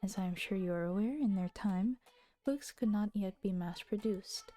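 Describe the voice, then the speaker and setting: A young woman with a slightly husky voice narrating a story and breaking off into giggly chatter. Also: medium pitch, normal speed, warm tone. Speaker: streamer reading a visual novel aloud. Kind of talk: scripted narration with casual asides.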